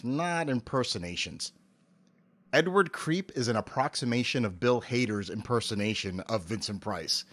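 A middle-aged man giving articulate, measured explanations as a voice actor being interviewed. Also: medium pitch, fairly bright tone.

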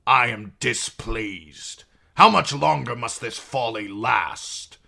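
A man's voice: mid-range voice, slow pace, vocal fry, sharp tone, voice pushed up, full character voice. A man voicing a stern, cold middle-aged king with a curt, commanding manner.

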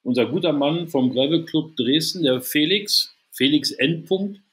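A man's voice: on the high side, measured pace. A man in his thirties from Berlin, co-hosting a podcast over a video call on a headset. He talks loosely, swears in jest and explains gear eagerly. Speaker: co-host. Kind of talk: casual podcast chat.

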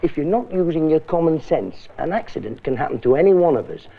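A man's voice: a little high, big pitch swings, quick pace, gravelly, heavily acted. A man playing a stern, blunt middle-aged British science teacher, questioning and warning a class in a safety film. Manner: emphatic, questioning, admonishing. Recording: muffled, thin old public information film.

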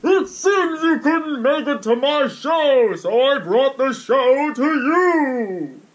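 A man voicing a theatrical, sinister showman animatronic from a horror game, with a raspy voice. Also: very high voice, expressive, slow pace, voice pushed up, exaggerated voice, poor audio. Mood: gleeful menace.

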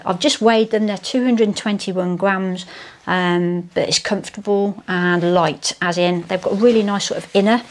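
A woman, chatting casually to camera at home about hiking gear, with many fillers and restarts. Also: medium pitch. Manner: chatty, self-deprecating, lots of fillers and restarts. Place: living room at home, indoors.

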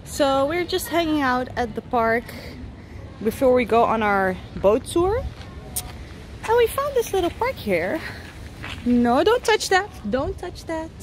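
A woman's voice: high voice, sing-song, measured pace, a little hiss or hum, low-quality recording. A young Dutch-speaking woman from the Netherlands vlogging enthusiastically outdoors on a handheld camera. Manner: chatty, enthusiastic, talking while walking outdoors. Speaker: travel vlogger. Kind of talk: casual travel vlog narration. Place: outdoors in parks and streets.

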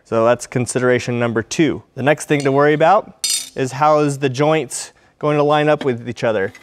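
A man's voice: medium pitch, a little hiss or hum. A man in his forties teaching metal fabrication in a large workshop, explaining each step plainly and often asking questions aloud. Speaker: welding and fabrication instructor. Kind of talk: shop demonstration with voice-over.

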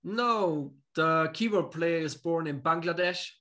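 Relaxed man on a video call. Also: on the high side, a little slow. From Austria. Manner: relaxed, simple phrasing, non-native English. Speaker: musician guest. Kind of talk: casual interview chat. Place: home room with pictures on the wall.

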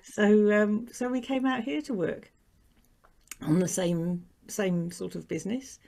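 Gravelly English woman on a video call. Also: medium pitch, fairly even intonation, a little slow, warm tone. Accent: English with some New Zealand influence. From England. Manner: chatty, laughs often. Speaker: guest, quilt shop owner and designer. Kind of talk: casual interview chat. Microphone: video call webcam.

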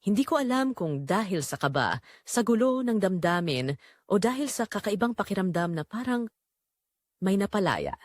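Casting: actress as quiet, neglected Filipina wife narrating an audio romance, introspective and tender, medium pitch with expressive swings. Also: female voice, moderate pace, dry recording, studio quality. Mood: reflective, moved. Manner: introspective, confessional. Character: Melissa, quiet long-neglected wife and mother, wistful, tender. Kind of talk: first-person romantic drama narration.

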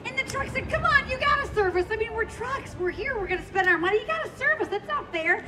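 A woman playing a dotty single lady in an improv comedy show, with a deadpan, slightly hesitant delivery. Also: extremely high pitch, quick pace, over a noisy crowd, very noisy. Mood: storytelling.

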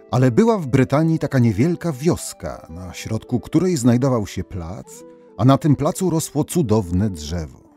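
A man's voice: mid-range voice, dark tone. A man narrating folk tales as a storyteller, reading from a script. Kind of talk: scripted fairy-tale narration.

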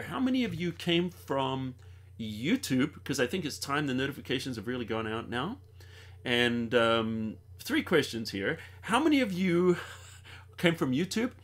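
A man's voice: on the high side, fairly bright tone. Upbeat New man hosting a live stream. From New Zealand. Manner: chatty, upbeat, addresses viewers directly. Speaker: host. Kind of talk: live-stream tutorial chat. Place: home studio at a desk, indoors.

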